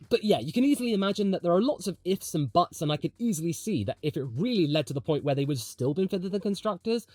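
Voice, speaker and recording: A man's voice: a little high, darkish tone, no echo. A man hosting a motorsport video, giving opinionated commentary in a conversational, emphatic way. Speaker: host of a motorsport commentary channel.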